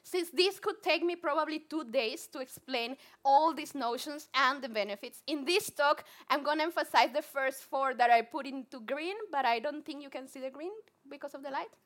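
Colombian woman psychologist, high and bright voice, earnest talk, restarts words. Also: moderate pace, hardly any pauses. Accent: Colombian Spanish. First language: Spanish. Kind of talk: public talk to a live audience.

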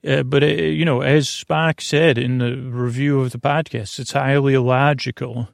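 A man with a creaky voice hosting a bedtime podcast, meandering and repeating himself in a lulling, mumbling way. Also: mid-range voice, fairly even intonation, moderate pace, dry recording, studio quality. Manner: meandering, mumbling, repetitive, with tangents; lulling, soothing delivery. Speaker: podcast host. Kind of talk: rambling bedtime sleep podcast introduction.

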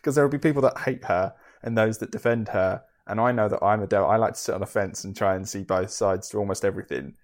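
Twenties man, easygoing co-host, fairly low voice, self-deprecating laugh. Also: fast, few pauses. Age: 20s. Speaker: podcast co-host. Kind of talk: casual chat.

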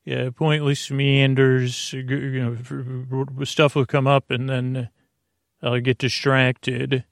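A man with a creaky voice hosting a sleep podcast, giving a meandering, soothing bedtime monologue that halts and drifts off mid-thought. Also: medium pitch, level tone, no room sound, studio quality. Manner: soothing, lulling delivery; digressive, halting, trailing off mid-thought.

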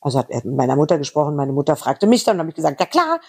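A middle-aged woman from Berlin with a faint Berlin accent talks as an interview guest. Her voice is a little husky, and she rambles quickly and eagerly through her anecdotes. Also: low-pitched, sing-song, mellow. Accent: slight Berlin. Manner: chatty, fast, rambling anecdotes. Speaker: voice actress guest. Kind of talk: casual interview chat.

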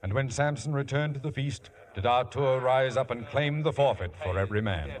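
A man's voice: mid-range voice, moderate pace, close to an everyday voice. A man narrating a biblical tale as a solemn, stately storyteller in 1950s radio drama.

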